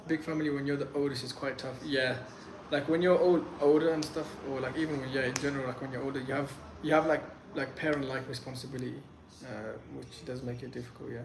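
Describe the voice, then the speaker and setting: Young man, first language Pashto, easygoing live-stream host; rambling, filler-heavy delivery; echoey room with steady background noise. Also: mid-range voice, fast talker, few pauses. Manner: loose and chatty, lots of "like" and restarts. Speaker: livestream host answering chat questions. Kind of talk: casual live Q&A. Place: in Medellín, Colombia.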